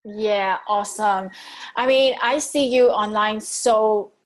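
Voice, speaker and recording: A woman's voice: medium pitch, slow. A woman in her thirties from Singapore with a Singaporean English accent, hosting an interview in an enthusiastic, chatty way with frequent laughs. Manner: enthusiastic, laughs often, restarts phrases. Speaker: host. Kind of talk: interview chat.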